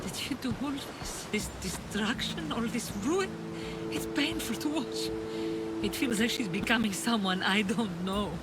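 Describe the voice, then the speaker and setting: A woman's voice: on the high side, unhurried, very noisy, lightly acted. A woman playing a regretful, grieving parent in a video game drama. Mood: anguished regret. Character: sorrowful, regretful parent of a wayward daughter. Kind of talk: video game cutscene acting.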